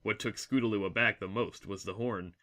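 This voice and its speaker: Man, story narrator, slightly low pitch, bright forceful tone, measured, solemn, literary scripted delivery. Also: fairly even intonation.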